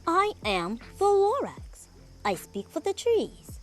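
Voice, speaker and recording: A storybook reader voicing a small, oldish, bossy forest creature, sharp and indignant as it scolds. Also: wildly swooping pitch, slow, soft background music, exaggerated voice. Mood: indignant, pleading. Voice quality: sharp, puffed, high-pitched.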